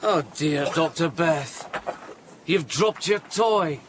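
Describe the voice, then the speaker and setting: An actor playing a sly, taunting villain in an audio drama, with a mocking, theatrical delivery. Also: male voice, fairly high pitch, slow, slight background noise. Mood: gloating.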